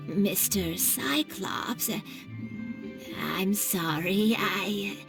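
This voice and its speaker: A woman playing a kindly elderly granny who sells wares in a video game, with an unhurried, doting manner. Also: mid-range voice, music in the background. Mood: hesitant, businesslike. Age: older. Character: kindly elderly granny kite seller, shrewd about prices. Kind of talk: scripted video game voice acting.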